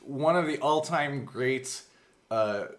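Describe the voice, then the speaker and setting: A man's voice: mid-range voice, slow, over a noisy crowd. A man co-hosting a casual behind-the-scenes chat, explaining things in a rambling, nerdy way with some profanity. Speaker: podcast co-host and game master.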